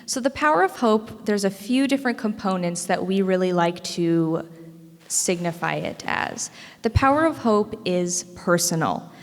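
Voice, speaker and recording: Teen female student speaker, American accent, mid-pitched, presenting formally to a meeting in a reverberant hall. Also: lively intonation, unhurried. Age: teens to young adult, high school student. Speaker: parade court student speaker. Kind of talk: prepared public speech. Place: indoor school meeting room. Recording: echoey hall with long reverb.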